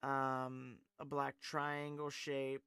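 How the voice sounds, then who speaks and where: A man's voice: medium pitch, monotone, extremely slow, bright, forceful tone, smooth, no room sound, clean studio sound. A man hosting a live call-in stream, chatting loosely, rambling with lots of fillers and reading viewers' comments aloud. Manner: rambling, filler-heavy, reads chat aloud. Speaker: call-in show host. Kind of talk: casual live-stream chat.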